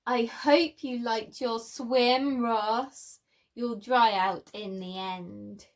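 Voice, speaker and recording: A woman's voice: fairly high pitch, steady tone, extremely slow. A woman voicing a mischievous, boastful young male dinosaur with theatrical, teasing delivery in a children's storybook. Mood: gleeful, smug.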